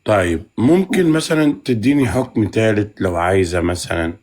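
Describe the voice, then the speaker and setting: A man's voice: lowish voice, expressive, brisk, darkish tone, a little hiss or hum. A man hosting a casual live chat in banter, teasing, bargaining and often repeating himself. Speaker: live stream host.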